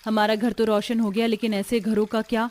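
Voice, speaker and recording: A woman voicing earnest, plaintive village housewives and mothers-in-law in a cartoon story, with an expressive, emphatic delivery. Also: fairly high pitch, fairly quick, close to an everyday voice. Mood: concerned.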